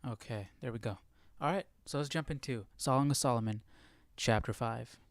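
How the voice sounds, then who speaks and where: A man's voice: mid-range voice, moderate pace, dry recording, studio quality. A man hosting a casual live study session, thinking aloud in a tentative, questioning way. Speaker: livestream Bible study host.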